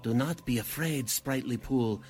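An actor playing a pompous, oily cult leader who poses as a spirit medium, speaking in grand theatrical incantations in a radio drama style. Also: male voice, mid-range voice, measured pace, music in the background, natural voice. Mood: grandly incanting. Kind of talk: 1940s radio drama acting.

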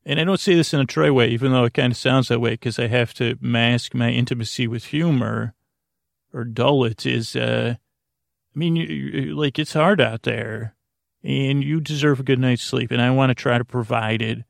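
Male bedtime podcast host, mid-pitched gravelly creak, meandering and hesitant soothing monologue. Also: moderate pace, no echo, studio quality. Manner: meandering, hesitant, stumbling and repeating himself.

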